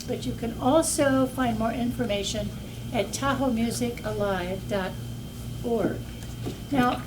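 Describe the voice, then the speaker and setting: Soft-voiced woman organizer, a bit high, heard from a distance over room noise. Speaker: nonprofit organizer. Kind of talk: community announcement. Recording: distant mic, noisy room.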